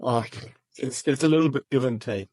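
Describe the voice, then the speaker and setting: A man answering interview questions about his company, speaking in halting phrases with frequent restarts. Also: medium pitch, a little mellow, no echo. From Germany. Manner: halting, many restarts and repeated words. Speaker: roofing company manager.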